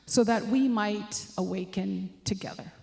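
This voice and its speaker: Female meditation guide, unhurried and relaxed, gently inviting delivery. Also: medium pitch, vocal fry. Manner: gentle, inviting instructions with soft suggestions. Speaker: meditation leader.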